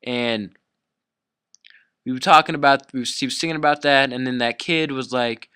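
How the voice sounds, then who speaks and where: Young male YouTube storyteller, clear tone, very dry sound; casual, sweary, loosely rambling delivery. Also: mid-range voice, normal speed, sharp tone, no room sound. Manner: profane, slangy, rambling.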